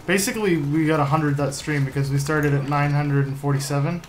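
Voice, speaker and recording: A man's voice: a little high, fairly even intonation, fairly quick. A young man hosting a game stream in casual chat, with a downbeat, self-deprecating manner. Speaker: live streamer, host. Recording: noisy room, typing in background.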